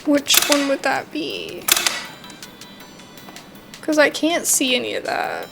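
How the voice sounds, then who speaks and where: A woman's voice: sits high, huge pitch swings, slow pace, vocal fry, music in the background. A woman doing casual let's-play commentary on a game, musing aloud as she puzzles things out. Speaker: gamer playing a horror visual novel demo.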